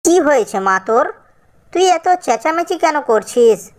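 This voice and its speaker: A man's voice: very high-pitched, very animated, measured pace, pitched above the performer's own voice, exaggerated voice. A man voicing a distraught, vengeful cartoon mother bird, pleading and repeating with emphasis. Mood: alarmed.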